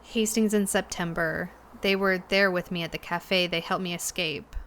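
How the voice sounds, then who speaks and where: A woman playing a shaken young cafe worker in an audio drama, talking haltingly and hesitantly, with sighs and pauses. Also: lowish voice, steady tone, a bit bright, a little hiss or hum, close to an everyday voice. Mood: uneasy. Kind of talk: naturalistic audio drama dialogue.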